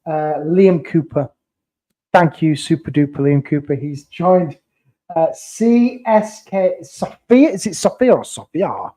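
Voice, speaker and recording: A man's voice: a little high, lively intonation, slow pace, warm tone. A man hosting a casual football podcast, bantering and teasing his co-host.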